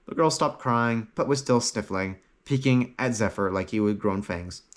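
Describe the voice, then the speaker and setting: A man's voice: fairly low pitch, normal speed. A man reading a fantasy story aloud as an audiobook narrator, in a dramatic, phrase-by-phrase way.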